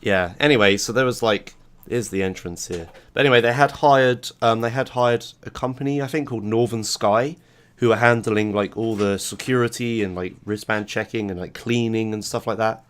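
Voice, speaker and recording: Hoarse low-voiced man rambling casually. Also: normal speed. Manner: rambling, loose, swears casually, laughs easily. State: hoarse, recovering from a sore throat and cough. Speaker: podcast host. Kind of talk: casual chat.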